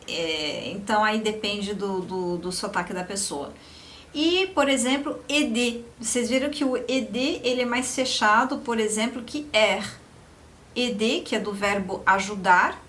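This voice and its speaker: A woman from Brazil teaching French pronunciation, repeating example words slowly and clearly. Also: a little high, fairly bright tone, slight background noise. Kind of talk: slow, careful pronunciation lesson.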